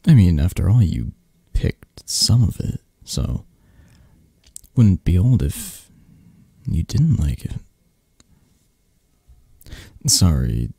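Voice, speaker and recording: A possessive yet tender mafia boss, acted by a man for an ASMR romance roleplay, murmurs intimately and teasingly. The delivery is slow and halting, with a husky edge and a close, dry sound. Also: low voice, huge pitch swings, dark tone, no echo, heavily acted. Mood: affectionate, flirty.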